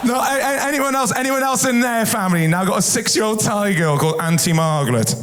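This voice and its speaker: Male comic, high bright voice, loud theatre crowd laughing throughout. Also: quick pace, heavy background noise. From Wales. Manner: energetic, shouting punchlines to a laughing crowd. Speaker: stand-up comedian. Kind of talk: stand-up routine. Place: large theatre stage. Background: loud audience laughter.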